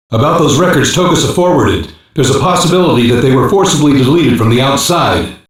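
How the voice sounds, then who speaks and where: Male actor as cyber-police analyst, matter-of-fact briefings, mid pitch, heavy echo, sci-fi game. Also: close to an everyday voice. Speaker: intelligence operative. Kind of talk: English animated sci-fi game voice acting. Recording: very echoey, distant-sounding game audio.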